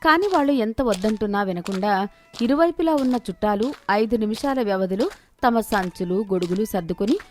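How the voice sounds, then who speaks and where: A woman storyteller giving an expressive scripted narration of a moral tale. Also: medium pitch, a little fast, slight background noise.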